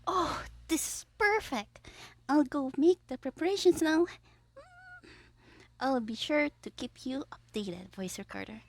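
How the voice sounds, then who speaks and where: A woman voicing a gleeful, scheming young male supervillain in an ASMR audio roleplay, theatrical and petulant, musing aloud with breathy asides. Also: high voice, lively intonation, measured pace. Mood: excited, smug.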